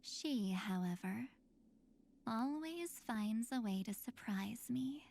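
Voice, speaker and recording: An actress playing a wistful, enigmatic young woman made of memory in a video game, speaking theatrically with breathy sighing phrases. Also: on the high side, expressive, slow, a little mellow, exaggerated voice. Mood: wistful, mysterious. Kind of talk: scripted game character voicelines.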